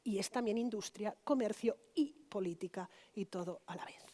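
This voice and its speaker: A woman's voice: medium pitch, normal speed, warm tone. A woman, a pediatrician giving a conference lecture in a conversational way, often checking in with the audience. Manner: conversational, frequent tag questions to the audience.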